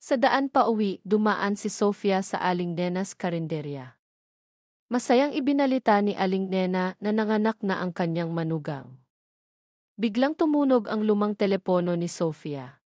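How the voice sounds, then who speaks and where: A woman with a Filipino accent reading a romance story aloud as a steady, scripted narration. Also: mid-range voice, normal speed, dry recording, studio quality. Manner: steady storytelling read, voicing all characters lightly.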